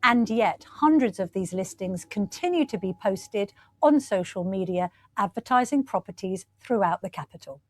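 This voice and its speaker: A woman reporter delivering a scripted news report in a clear, measured way. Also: medium pitch, expressive, no room sound. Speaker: newspaper reporter.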